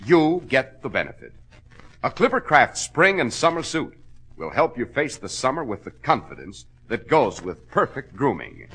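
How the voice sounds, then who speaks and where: A man's voice: medium pitch, sing-song, moderate pace. An American man announcing and hosting a vintage radio show with emphatic, polished salesmanship in a 1940s style.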